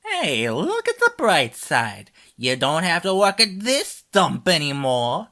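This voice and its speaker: A woman's voice: deep voice, huge pitch swings, slow, fairly bright tone, full character voice. A woman voicing a sassy, smug, mocking cat villain for a video game, drawling and sarcastic. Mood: smug, taunting. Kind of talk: video game character voice lines.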